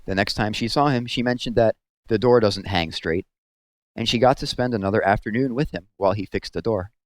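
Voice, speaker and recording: Low-voiced man reading a novel aloud. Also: a little fast. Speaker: read-aloud narrator. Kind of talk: scripted storybook narration.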